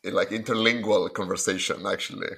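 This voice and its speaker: Low-voiced joking man co-host. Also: steady tone, a little fast, creaky, pushed and bright, husky. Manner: jokey, rambling asides. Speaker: podcast co-host. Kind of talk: casual interview chat.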